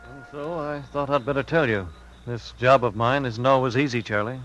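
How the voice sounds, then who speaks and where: An actor playing a persuasive, insistent but kind young detective in a 1950s radio drama. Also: male voice, medium pitch, moderate pace, highs cut off, music in the background, lightly acted. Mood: earnest, pleading. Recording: hissy, humming 1950s radio recording.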